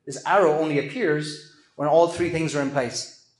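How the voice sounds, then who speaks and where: Man giving a keynote in an echoey hall. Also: a little high. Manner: earnest, measured, self-deprecating asides. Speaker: keynote speaker. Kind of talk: conference keynote presentation. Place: large event hall with an audience. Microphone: handheld microphone at a lectern.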